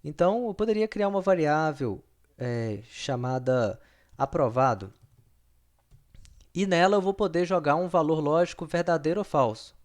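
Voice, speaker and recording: A man's voice: on the high side, darkish tone. Patient male programming teacher. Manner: patient, repeats himself, checks in with the students. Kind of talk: recorded screen-share lesson.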